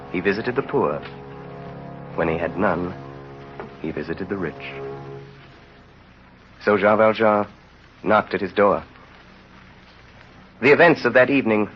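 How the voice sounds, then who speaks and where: A man narrating a 1930s radio drama in a grave, measured storytelling manner. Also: fairly low pitch, lightly acted. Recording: muffled old radio recording.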